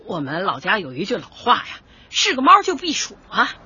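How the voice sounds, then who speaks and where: Hearty middle-aged dongbei auntie, radio comedy, northeastern chinese accent, very husky n bright, bit muffled audio. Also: female voice, mid-range voice, huge pitch swings, moderate pace, full character voice. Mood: earnest. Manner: folksy, exclamatory, forthright. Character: bold, hearty middle-aged Northeastern neighbourhood woman leading square dancing. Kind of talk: radio comedy drama acting.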